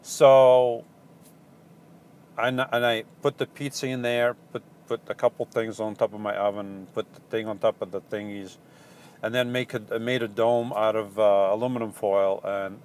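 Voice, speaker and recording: A man with a Portuguese accent, a van-life vlogger casually answering viewer questions in a halting, rambling way full of ums and restarts. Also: lowish voice, flat delivery, a little mellow, a little hiss or hum. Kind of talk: casual live Q&A chat.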